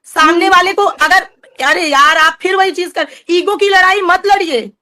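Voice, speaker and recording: A woman's voice: very high voice, unhurried, pushed and bright, dry recording, studio quality. A woman arguing casually in a group call, with a blunt, scolding way of talking.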